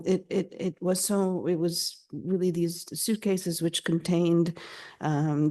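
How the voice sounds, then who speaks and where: A woman guest on a panel with a low voice, speaking haltingly and correcting herself. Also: dark tone. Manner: halting, self-correcting speech. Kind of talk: panel conversation.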